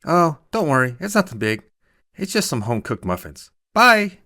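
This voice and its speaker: A man's voice: on the high side, very animated, normal speed, bright, forceful tone, no room sound, studio quality, pitched above the performer's own voice, exaggerated voice. A man voicing a cheerful, polite and chatty little girl for a short story, bubbly and earnest. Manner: bubbly, earnest, quotes mama's sayings.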